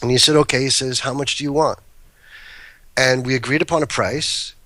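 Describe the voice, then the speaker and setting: A man's voice: medium pitch, fairly even intonation, a little fast, pushed and bright, no echo. A man guesting on a talk show, telling long, rambling anecdotes and often breaking off mid-sentence. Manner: rambling, self-interrupting anecdotes. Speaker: guest, cannabis breeder. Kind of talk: casual storytelling chat.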